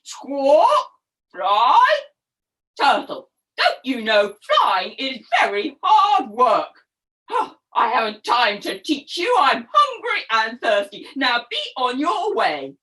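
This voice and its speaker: A woman's voice: high-pitched, wildly swooping pitch, pushed and bright, voice pushed down, exaggerated voice. A woman doing a squawking mother goose. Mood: brisk. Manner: squawking, brisk and bossy. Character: busy mother goose, brisk then kindly.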